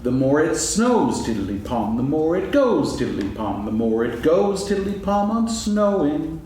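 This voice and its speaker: Male actor as musing teddy bear, higher-pitched, earnest, singsong, children's stage reading, very reverberant room. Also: sing-song, a little slow, darkish tone. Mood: singsong humming. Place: stage before an audience, seated reading. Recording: distant echoey stage recording, faint noise.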